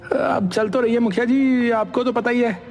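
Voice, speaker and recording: A man's voice: very high-pitched, steady tone, normal speed, darkish tone. A man playing a timid, troubled young village cook in a cartoon story, hesitant and questioning. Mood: troubled, polite. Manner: hesitant, questioning, easily startled. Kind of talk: Hindi cartoon story acting. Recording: reverberant hall sound with faint music.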